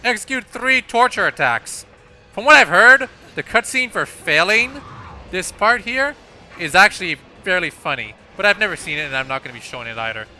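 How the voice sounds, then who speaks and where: A man's voice: sits high, big pitch swings, normal speed. A man giving casual, explanatory commentary on a video game, with some hesitation as he goes. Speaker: let's-play commentator. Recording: loud game music under the voice. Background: loud game music.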